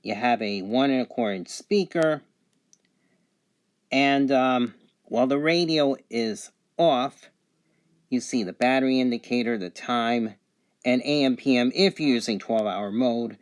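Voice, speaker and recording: A man giving an unscripted product review, going item by item in a matter-of-fact, halting way. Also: medium pitch.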